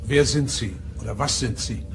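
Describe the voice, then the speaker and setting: An actor playing a commanding starship captain in an audio drama, formal, insistent and probing. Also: male voice, mid-range voice, moderate pace, noisy background, natural voice. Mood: stern, probing. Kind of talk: German audio drama acting.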